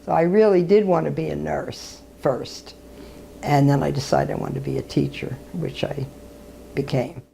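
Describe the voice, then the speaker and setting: A woman's voice: low voice, steady tone, moderate pace, gravelly, warm tone, a little hiss or hum. A woman in her seventies who laughs easily and exclaims while answering interview questions. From Brooklyn. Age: 70s. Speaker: interviewee, retired teacher.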